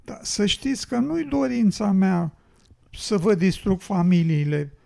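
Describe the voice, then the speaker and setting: A man's voice: high-pitched, big pitch swings, vocal fry, a little mellow, exaggerated voice. A man playing an anxious, well-meaning road builder with a plaintive manner, in an educational radio drama. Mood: anxious, apologetic.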